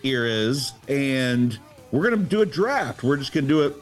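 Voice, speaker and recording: A man's voice: mid-range voice, sing-song, moderate pace, poor audio. A man hosting a casual podcast, explaining the rules in an upbeat, explanatory way while music plays behind him. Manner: upbeat and explanatory, walks listeners through the rules. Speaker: podcast host running a draft lottery. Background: music playing behind him.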